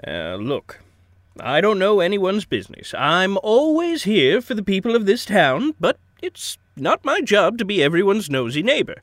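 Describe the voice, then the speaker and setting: Folksy older mayor with swooping, high-pitched voice. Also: male voice, big pitch swings, moderate pace, fairly bright tone, no room sound, studio quality. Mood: guarded, confiding. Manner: folksy, avuncular, hemming and hedging. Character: genial, evasive older small-town male mayor. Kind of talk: audio drama dialogue.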